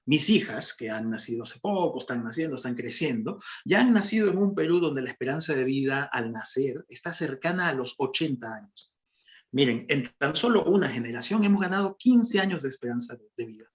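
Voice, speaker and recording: A man in his thirties from Peru with a Peruvian Spanish accent, speaking as a panelist in measured, explanatory remarks and repeating phrases for emphasis. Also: mid-range voice, lively intonation.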